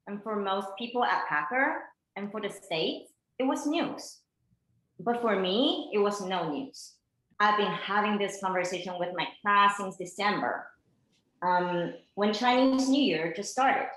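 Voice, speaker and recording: A matter-of-fact female schoolteacher, acted by a woman, recounts events in a conversational documentary play. Her pitch is medium, and the room echoes noticeably around her. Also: fairly bright tone. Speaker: actor playing a schoolteacher. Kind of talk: documentary theater monologue, conversational. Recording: roomy, echoing sound.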